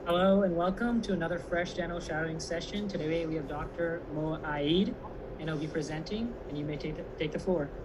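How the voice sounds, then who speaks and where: A woman's voice: low voice, fairly quick. A woman hosting an online session, giving a prepared welcome and introducing a guest speaker. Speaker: session host. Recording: clear background noise.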